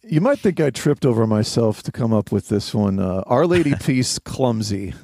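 A man co-hosting a podcast, giving casual album commentary in a halting but enthusiastic manner. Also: medium pitch, big pitch swings, mellow. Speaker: podcast co-host.

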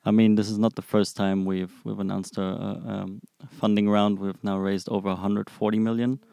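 Low, creaky-voiced young man from Germany. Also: level tone, moderate pace, mellow, no echo, studio quality. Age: 20s. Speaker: interview guest, startup employee. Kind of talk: conversational interview answers.

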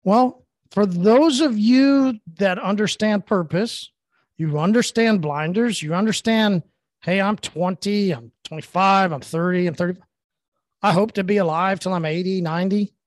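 A man's voice: sits high, unhurried, no echo. A middle-aged man co-hosting a podcast, talking earnestly in long, rambling, repetitive stretches. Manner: earnest, rambling, repeats himself. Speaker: podcast co-host. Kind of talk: casual motivational talk.

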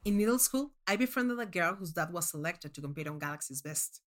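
A woman narrating a first-person short story in an expressive, measured storytelling manner. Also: on the low side.

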